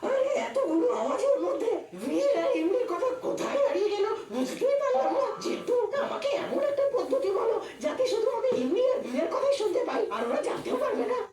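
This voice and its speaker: A very high-pitched, whiny cartoon donkey, swooping wildly as it talks. It is suspicious, dramatic and quick to take offence. The sound is echoey, with loud music underneath. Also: female voice, very animated, far from the mic. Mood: eager, scheming. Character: suspicious, excitable young talking donkey, easily offended. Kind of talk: exaggerated children's cartoon dubbing.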